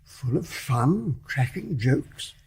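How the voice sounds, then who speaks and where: An actor playing a kindly, flustered older English major in a period radio drama, halting and repeating his words. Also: mid-range voice, sing-song, a little mellow, husky, exaggerated voice, poor audio. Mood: shocked, kindly. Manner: halting, stammering repetitions. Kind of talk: stage-play radio drama acting.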